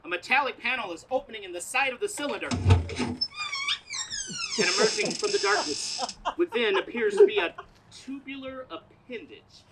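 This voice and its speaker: A woman's voice: high-pitched, sing-song, normal speed, gravelly, pauses often, very noisy, heavily acted. A woman playing an earnest, flustered radio news reporter in a comedic stage radio play, recorded outdoors live. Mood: dramatic, urgent. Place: outdoors, parking lot stage.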